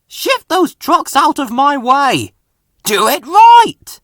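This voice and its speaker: Storybook character: man playing a proud, fussy, kindly little steam engine, high and forceful, calling out orders. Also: sing-song, slow, no echo, studio quality, exaggerated voice. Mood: bossy, urgent. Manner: earnest, bossy, exclaiming commands.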